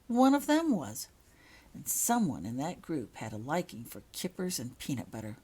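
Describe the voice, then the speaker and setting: A woman reads a storybook-style tale aloud. Her voice is low for a woman and has a husky edge. It is scripted narration, told by the author. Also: huge pitch swings, moderate pace, a little mellow. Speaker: author reading own fan fiction.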